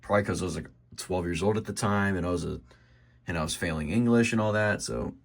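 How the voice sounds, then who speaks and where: A young man with an American accent giving a casual show-and-tell, halting and rambling as he corrects himself. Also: low-pitched. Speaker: hobbyist showing a radio collection. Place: indoors at home by a desk.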